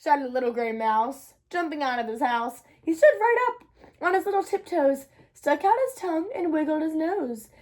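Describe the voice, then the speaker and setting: A woman reads a children's story aloud. Her voice is high and very lively, rising and falling as she narrates. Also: moderate pace. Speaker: storybook reader. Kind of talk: animated children's read-aloud.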